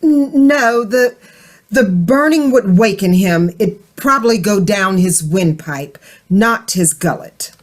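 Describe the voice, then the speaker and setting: A woman playing a deliberate, formal official giving solemn testimony in an old-time radio drama. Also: medium pitch, expressive. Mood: firm, expert. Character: measured, careful expert medical witness and solemn jury foreman. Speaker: actor in an amateur radio play.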